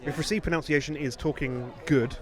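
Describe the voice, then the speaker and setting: Higher-pitched man in rambling casual chat. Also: steady tone, a little fast, some chatter behind. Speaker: podcast host.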